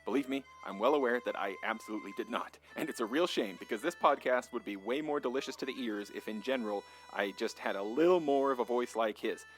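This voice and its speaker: A man's voice: mid-range voice, quick pace, hardly any pauses. A man hosting a podcast, chatting casually with lots of ums and self-deprecating asides. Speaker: podcast host. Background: faint bagpipe and whistle music.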